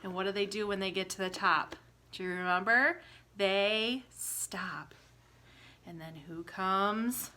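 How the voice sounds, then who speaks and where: A woman teacher retelling a story with props for young children, speaking simply, asking the listener questions and acting out exclamations in an animated way. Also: mid-range voice, slow.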